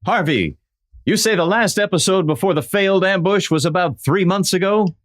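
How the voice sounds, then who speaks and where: A man's voice: high-pitched, moderate pace, lightly acted. A man playing a resolute, upright masked hero in a western radio play, earnest and commanding.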